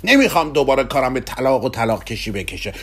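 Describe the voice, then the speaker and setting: A man's voice: mid-range voice, big pitch swings, slightly rough. A man voicing a restless middle-aged male professor who craves romance, whiny and pleading.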